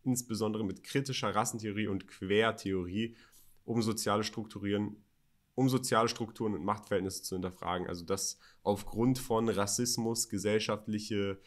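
A man's voice: fairly low pitch, fairly even intonation, moderate pace. A man, co-hosting a conversational podcast. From Berlin, Germany. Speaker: podcast co-host. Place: home office studio, indoors. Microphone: studio microphone on boom arm, close.

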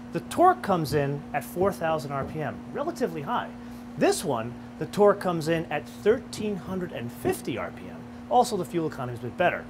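A middle-aged man hosting a car show, explaining features in a lively, animated way outdoors and behind the wheel. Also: high-pitched, background noise. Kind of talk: car review presentation.

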